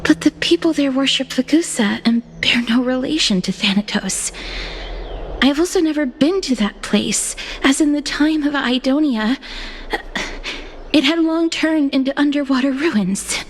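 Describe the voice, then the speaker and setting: A woman's voice: high-pitched, normal speed, a little hiss or hum, close to an everyday voice. An actress playing an earnest, sombre young woman in a video game, speaking in a polite, formal and restrained way. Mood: thoughtful. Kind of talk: scripted video game voice acting. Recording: loud music behind the voice. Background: game music.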